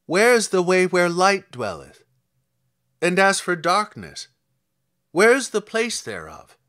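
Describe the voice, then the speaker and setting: A man's voice: high voice, huge pitch swings, slow pace, fairly bright tone, full character voice. A man reading scripture as a stern, commanding God, declaiming solemn rhetorical challenges in archaic English.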